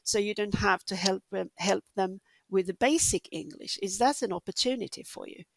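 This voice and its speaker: Gravelly Swedish-accented woman host. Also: mid-range voice, moderate pace, dry recording. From southern Sweden. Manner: chatty, laughs between phrases. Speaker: podcast host. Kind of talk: conversational interview.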